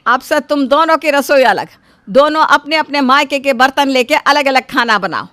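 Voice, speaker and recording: A woman's voice: sits high, animated, normal speed, fairly bright tone, no room sound. A woman playing a stern, fed-up mother-in-law in a household story, scolding with sarcasm and command. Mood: exasperated, commanding. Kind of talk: animated Hindi moral story dubbing.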